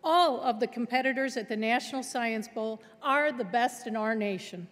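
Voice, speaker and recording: A woman's voice: fairly high pitch, moderate pace, a bit bright. A middle-aged woman giving a formal keynote address at a lectern, congratulating students with emphatic delivery. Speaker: keynote speaker. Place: large auditorium stage at a lectern. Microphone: lectern microphone. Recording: long auditorium reverb.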